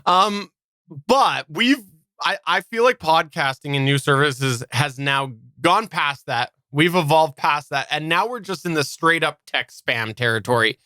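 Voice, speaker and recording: A man co-hosting a casual live podcast chat, often repeating words haltingly as he works through a thought. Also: on the high side, animated, sharp tone, dry recording. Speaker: podcast co-host.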